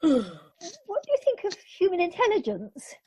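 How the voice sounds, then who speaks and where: A woman's voice: extremely high pitch, huge pitch swings, a little slow, dark tone, exaggerated voice. A woman playing a silly, fluttery society lady in a radio play, over muffled video-call audio. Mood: simpering.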